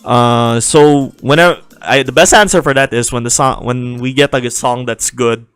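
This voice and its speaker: A man's voice: mid-range voice, normal speed, a bit bright, smooth, no room sound. A man hosting a casual game livestream, answering viewer questions with frequent restarts and laughter. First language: not Japanese.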